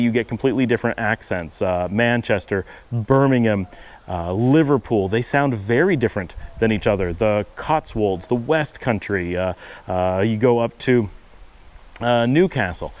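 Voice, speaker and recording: A middle-aged man from upstate New York with a Buffalo-Cleveland accent, talking fast in a casual vlog while walking outdoors on a windy street. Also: medium pitch, expressive. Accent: Buffalo-Cleveland American. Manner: fast-talking, trying to slow down. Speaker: vlogger.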